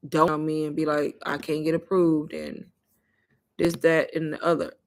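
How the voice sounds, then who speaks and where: A woman hosting a casual livestream, pitching an online course and taking viewers' questions in a chatty, repetitive way while calling her viewers "y'all.". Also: flat delivery, normal speed. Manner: chatty, repeats herself, addresses viewers as "y'all".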